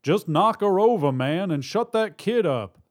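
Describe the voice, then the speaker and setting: Man doing a loud, barking bank robber boss. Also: fairly high pitch, animated, a little slow, voice pushed up. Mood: sarcastic. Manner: barking, commanding. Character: hard, bullying, sarcastic male bank robber boss.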